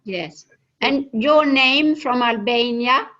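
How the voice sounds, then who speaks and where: A woman's voice: a little high, slow pace, bright, forceful tone. A woman with a Swedish accent moderating an online seminar over a video call, hesitant and full of filler sounds. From Sweden. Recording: dull lo-fi video call audio.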